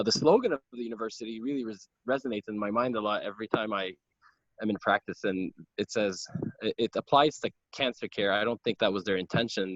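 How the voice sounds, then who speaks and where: A man's voice: lowish voice, a bit bright, dry recording. A man, a doctor giving an informal lecture over a video call, earnest and full of ums and restarts. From Lebanon. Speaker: gynecologic oncologist giving a lecture. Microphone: computer mic on a video call. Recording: dull, dry video call audio.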